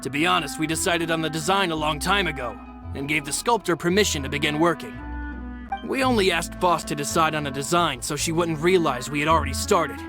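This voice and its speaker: A man's voice: fairly high pitch, brisk, lightly acted. An actor playing a polite, loyal male attendant who speaks formally in a game. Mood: courteous. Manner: formal, courteous. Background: game soundtrack music.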